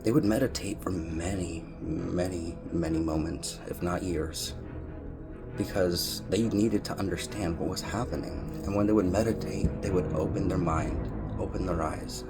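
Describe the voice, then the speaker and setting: A man speaks in a low-pitched voice over clearly audible music. His delivery is solemn and deliberate, like a personal spiritual testimony to his followers. He repeats words for weight and keeps an earnest tone. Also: fairly even intonation. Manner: solemn, deliberate, emphatic repetition. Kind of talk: earnest spoken monologue on personal spiritual beliefs.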